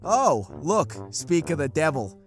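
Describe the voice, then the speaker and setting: A man's voice: fairly high pitch, big pitch swings, unhurried, faint music behind, pitched below the performer's own voice. A man voicing a cocky, laid-back giant lizard monster with a sarcastic, mocking manner in a cartoon dub. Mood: smug. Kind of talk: cartoon comic dub voice acting.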